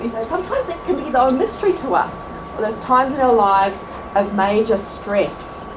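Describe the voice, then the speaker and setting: A woman with an Australian accent presenting a class to an audience at a church event, chatty and quick to laugh at herself. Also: a little high, lively intonation. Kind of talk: live talk to a class. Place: large room with an audience. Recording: echoey hall from far off, crowd noise.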